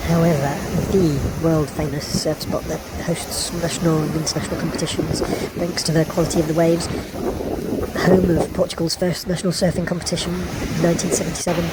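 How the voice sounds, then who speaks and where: Woman, lowish voice, dry travel-vlog narration over loud background music. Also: rough recording. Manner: wry, opinionated, meandering asides. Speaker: travel vlogger.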